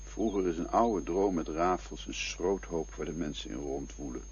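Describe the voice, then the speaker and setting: An actor playing a reflective companion who speaks in a poetic, musing way. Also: male voice, sits low, moderate pace, slight background noise, lightly acted. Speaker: actor in a radio play. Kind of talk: radio drama acting. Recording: dull lo-fi recording with faint music.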